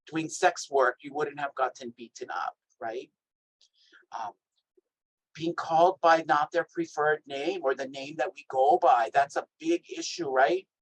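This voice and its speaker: A man from Hawaii with a naturally high voice, giving an emphatic workshop talk over a video call and checking in with "right?". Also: rough recording. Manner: lively, emphatic, asks "right?" often. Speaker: health equity trainer. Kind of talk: workshop presentation.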